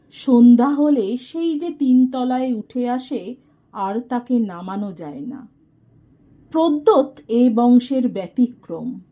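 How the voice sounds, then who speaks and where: A woman with a fairly high, very mellow voice narrates a literary story. She reads very slowly and expressively, with measured drama. The recording sounds a little dull and lo-fi.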